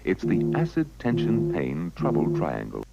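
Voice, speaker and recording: A man's voice: low voice, wildly swooping pitch, a little slow, vocal fry. A man narrating an old advertisement from a script, recorded in dull, lo-fi sound. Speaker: commercial narrator.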